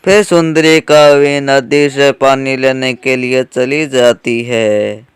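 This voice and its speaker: Male narrator for children's moral tales: crisp, resonant, unhurried, dry recording. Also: medium pitch, fairly even intonation, no echo. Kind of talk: scripted children's story narration.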